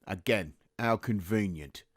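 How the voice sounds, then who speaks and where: An actor playing a resentful working-class teenage soldier in an audio drama, with a Cockney accent and a blunt, mocking manner. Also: male voice, lowish voice, measured pace, no room sound, clean studio sound.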